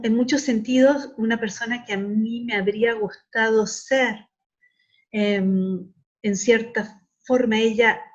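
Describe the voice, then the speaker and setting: The audio is a little muffled and lo-fi. A middle-aged woman speaks at an average pitch in a reflective interview style. She is earnest, often restarting phrases as she looks for the exact word. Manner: earnest, searching for words with repeated starts. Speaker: academic interviewee.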